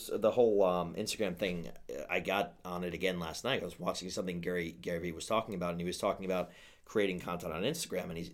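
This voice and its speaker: A man's voice: sits low, a bit bright. A man in his thirties, a business owner talking as a guest on a podcast, rambling with many restarts and fillers. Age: 30s. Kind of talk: casual podcast conversation.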